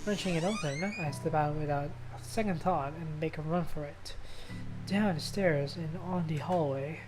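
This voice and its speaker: Low-pitched woman streaming over loud music. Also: normal speed. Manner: informal asides, stumbles while reading. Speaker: game streamer. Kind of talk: livestream let's play, reading visual novel narration aloud with casual asides. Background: loud game music.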